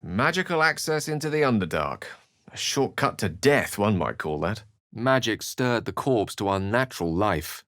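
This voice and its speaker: A refined, scholarly video game wizard in middle age. He phrases things ornately and with wry precision, theatrical yet measured. The recording is close and dry. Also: on the low side, big pitch swings, a bit bright, dry recording. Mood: solemn, reflective. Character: eloquent, refined adult male wizard companion, wry and scholarly.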